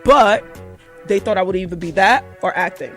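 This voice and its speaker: A woman's voice: medium pitch, moderate pace, fairly bright tone, soft background music. A woman guest who owns a record label, talking casually and confidently about her work. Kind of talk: casual interview chat.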